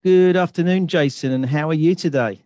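A man's voice: a little high, brisk, no echo. A middle-aged man hosting a podcast, reading an introduction and then chatting casually with a guest. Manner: reads sponsor list, relaxed questions with fillers. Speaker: podcast host.

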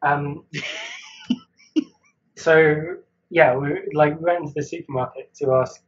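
A man's voice: a little high, fairly even intonation, takes it slowly, dull audio. Rambling, laugh-prone man on a video call. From Wales. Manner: rambling, hesitant, laughs often. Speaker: guest artist. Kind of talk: casual chat.

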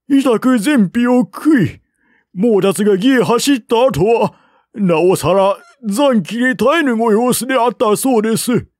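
A man's voice: sits high, a little mellow, no room sound, studio quality, voice pushed up. A man voicing a timid old retainer in a storybook, pleading with his lord in formal, humble, earnest speech.

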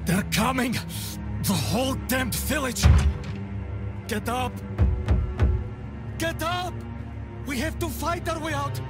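A man's voice: very high voice, slow, long pauses. A man playing a grim, terse wandering warrior in a dramatic video game cutscene. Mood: alarmed, shouting. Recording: music behind the voice.

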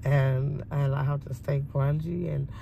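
A woman in her forties talking at length from a parked car, meandering with many ums and pauses. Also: a bass voice, little pitch movement, creaky, mellow, a little hiss or hum. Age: 40s. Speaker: interviewee. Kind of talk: rambling personal monologue. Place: parked car by a window, daytime.

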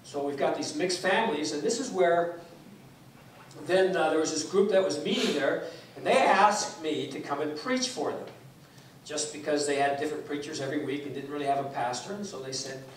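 A man's voice: fairly high pitch, brisk, slight background noise. A man in his sixties, raised in Taiwan, giving a guest sermon as a pastor, telling stories casually with many fillers and restarts. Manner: conversational, with frequent fillers and restarts, gesturing while speaking. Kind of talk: informal sermon storytelling. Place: church sanctuary, indoors. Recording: echoey church hall, distant mic.

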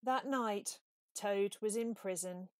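A woman reading a children's storybook aloud in a measured, expressive way. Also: mid-range voice, no room sound, clean studio sound. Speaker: storybook reader. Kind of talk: scripted children's story narration.

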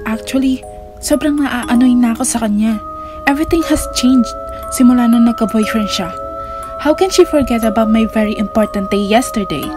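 A woman's voice: a little high, fairly quick, over music, close to an everyday voice. A woman with a Filipino accent playing a resentful, anxious young narrator in an amateur horror audio drama. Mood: irritated.

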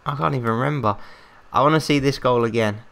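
An English man commentating on a football management game in casual chat, excitable and rambling, his voice getting worn out. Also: medium pitch, fast, gravelly. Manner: excitable, rambling, talks to himself. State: losing his voice, coughing.

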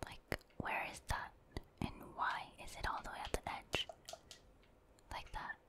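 Whispering woman, ASMR game commentary. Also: unhurried. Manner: hushed, musing aloud, chatty asides to viewers. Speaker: gaming streamer.

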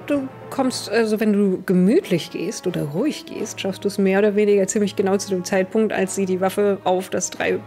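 A woman's voice: medium pitch, darkish tone. A young woman improvising the narration of a tabletop roleplaying game as game master. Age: young adult.

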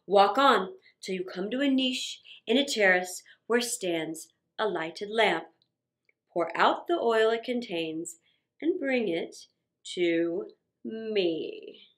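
A woman voicing a cunning, commanding male magician in a children's storybook, speaking slowly and dramatically. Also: sits high, fairly bright tone, pitched below the performer's own voice, heavily acted.

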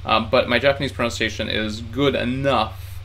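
A man's voice: mid-range voice, steady tone, moderate pace, bright, forceful tone. A man in his thirties chatting casually to camera in a small room, rambling with lots of fillers and restarts. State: tired from heat. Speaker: vlogger learning Japanese.

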